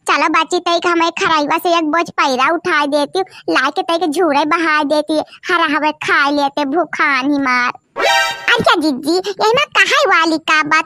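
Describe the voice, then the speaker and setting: Squeaky-high cartoon village woman, Awadhi accent. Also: pushed and bright, no room sound, clean studio sound. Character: village women of a cartoon, coy or scolding, folksy. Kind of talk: cartoon comedy dialogue in rural dialect.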